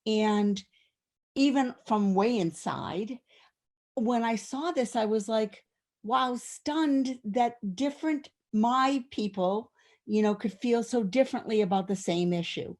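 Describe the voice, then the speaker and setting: A woman's voice: fairly high pitch, slow, a little mellow. A woman taking part in a study class, speaking earnestly and piling up searching questions. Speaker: class participant. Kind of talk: asking questions in a discussion.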